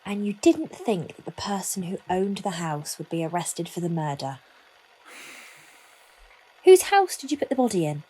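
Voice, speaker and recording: A woman's voice: on the low side, sing-song, moderate pace, pauses often, dark tone, breathy edge, rough recording. A woman playing a formal, probing, authoritative detective inspector in an audio drama. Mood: stern, probing. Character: stern, probing female police detective inspector leading interrogation.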